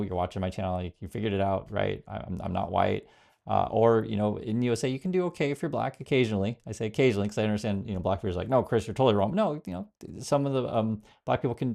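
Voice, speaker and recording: A man, born in Vietnam, talks straight to his viewers in a loose, rambling way, full of fillers and restarts. His voice sits a little low and has a gravelly, fry-tinged edge. Now and then he slows down to read viewer comments aloud. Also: a little mellow. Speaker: political commentary host. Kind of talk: casual direct-to-camera commentary.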